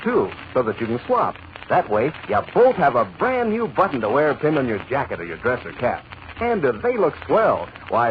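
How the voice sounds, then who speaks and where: A man announcing a folksy 1940s radio advertisement, chummy and salesmanlike. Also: medium pitch. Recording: muffled, hissy 1940s radio recording.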